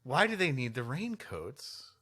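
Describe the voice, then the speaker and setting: A man's voice: medium pitch, big pitch swings, measured pace, pushed and bright, breathy edge. A man co-hosting a podcast, reading history aloud and adding dry, playful asides. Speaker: podcast co-host.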